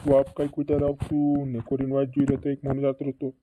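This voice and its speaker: A man's voice: mid-range voice, monotone, fast, dark tone, a little hiss or hum, natural voice. A man voicing a fretting cartoon villager with repetitive, doubled words, in a dull lo-fi recording. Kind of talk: regional comedy cartoon dialogue. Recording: dull lo-fi dry audio.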